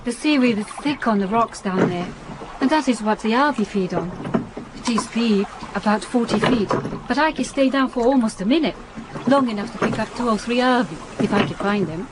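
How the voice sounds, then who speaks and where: A woman's voice: on the high side, normal speed, very noisy, close to an everyday voice. An actress playing a young Japanese island diving woman in a radio drama, earnest and practical, with careful, formal phrasing. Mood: brisk, instructing.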